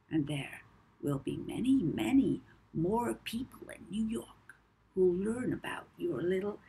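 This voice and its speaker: Woman as male blackmailer, children's audiobook: very breathy, unhurried, lazy menace, soft-spoken. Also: low-pitched, exaggerated voice, low-quality recording. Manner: soft-spoken, lazy drawl with deliberate pauses. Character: sinister, manipulative male blackmailer, smooth and menacing.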